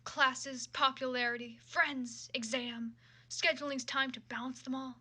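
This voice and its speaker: Bright, higher-voiced woman reading fan fiction. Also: steady tone, a little slow. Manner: irreverent, casually profane asides. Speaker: fan fiction reader and commentator. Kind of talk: reading fan fiction aloud with casual commentary.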